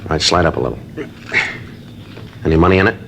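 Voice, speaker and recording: An actor playing a clipped, matter-of-fact police sergeant who questions a suspect and narrates the case in a 1950s radio drama. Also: male voice, a bass voice, quick pace, creaky, fairly bright tone, a little hiss or hum, exaggerated voice. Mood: questioning. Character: terse, dogged middle-aged male police sergeant.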